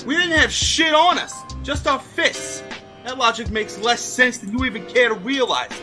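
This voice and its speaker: A man's voice: very high-pitched, normal speed, music in the background. A man playing a blunt, scornful young guy in an amateur audio roleplay, cutting and profane.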